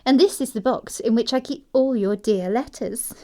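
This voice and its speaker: A woman's voice: fairly high pitch, normal speed, mellow, lightly acted. A woman playing a prim, dreamy, self-assured young society girl with a precise, airy delivery in an audio production of a classic comedy. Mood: dreamy, matter-of-fact.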